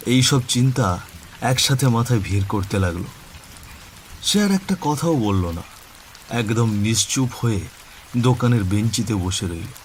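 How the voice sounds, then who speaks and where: A man's voice: medium pitch, animated, unhurried, pauses often, a little hiss or hum. A man narrating a scripted horror cartoon story in a dramatic storytelling style.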